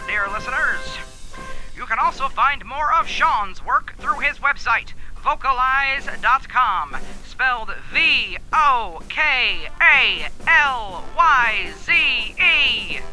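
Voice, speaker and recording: A man reading the end credits of a show in a clear, deliberate way. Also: extremely high pitch, bright, forceful tone, poor audio. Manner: clear, deliberate announcing; spells things out. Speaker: credits announcer.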